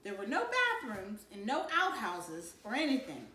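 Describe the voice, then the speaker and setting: A woman reading a children's novel aloud in an animated, dramatic storytelling manner. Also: mid-range voice, moderate pace. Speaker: read-aloud narrator. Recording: roomy, distant mic, faint noise.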